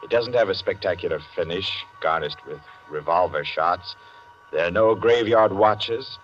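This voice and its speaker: A man playing a suave announcer and narrator of a 1940s radio suspense play, with measured, dramatic delivery. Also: sits low, close to an everyday voice. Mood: suspenseful. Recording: dull old 1940s radio recording, music behind. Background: dramatic music.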